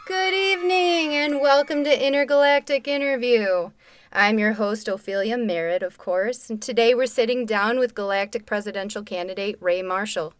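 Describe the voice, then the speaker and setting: A woman's voice: on the high side, moderate pace, no echo, close to an everyday voice. Woman playing a polished, probing space talk-show host. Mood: polished, wry. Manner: formal, pointed, wryly insinuating. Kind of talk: comic sci-fi radio theatre interview.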